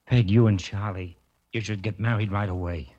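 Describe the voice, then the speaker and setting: An actor playing a loyal, worried sidekick to a boxer, earnest and pleading in 1950s radio drama style. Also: male voice, fairly low pitch, fairly even intonation, normal speed, dark tone, muffled recording, natural voice.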